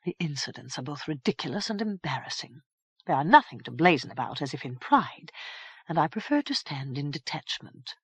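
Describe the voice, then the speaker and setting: A woman voicing a vain, sly fairy mother who speaks in a soft, silken voice. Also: sits low, animated, measured pace, husky, dry recording, heavily acted. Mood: sullen.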